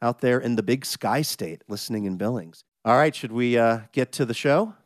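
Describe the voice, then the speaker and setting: Male American radio host, mid pitch, slightly scratchy, conversational. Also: normal speed, no room sound, studio quality. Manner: casual, conversational. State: slightly scratchy voice, under the weather. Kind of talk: scripted radio intro, casual banter.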